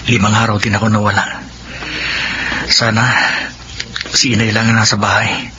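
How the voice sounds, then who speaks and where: A man's voice: fairly low pitch, level tone, moderate pace, highs cut off, background noise, lightly acted. A man playing a resentful, wayward young son with a Filipino accent in a radio drama. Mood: uneasy, brooding.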